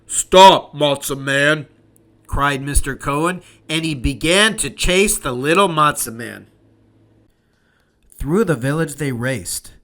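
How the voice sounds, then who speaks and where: A man narrating a children's storybook aloud in a deliberate, expressive way. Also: on the high side.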